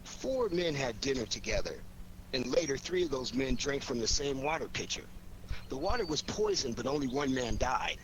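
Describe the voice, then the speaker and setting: A wry, self-assured detective hero from a radio play , acted by a man. His voice sits at an ordinary male pitch, probing and shrewd, with clear noise on the recording. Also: very animated, moderate pace, close to an everyday voice, rough recording. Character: cool, wry, shrewd male amateur sleuth. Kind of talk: radio drama acting.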